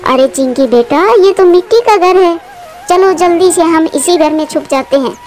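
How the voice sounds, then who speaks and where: A woman's voice: very high voice, normal speed, a little mellow, faint music behind. A woman voicing a worried, loving mother bird in a cartoon, pleading and tender.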